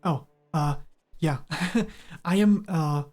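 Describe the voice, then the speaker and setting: German man, relaxed rambling streamer. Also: fairly high pitch, extremely slow, faint music behind. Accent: slight mix of British and American. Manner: rambling, hesitant, laughs often. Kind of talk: casual gameplay chat.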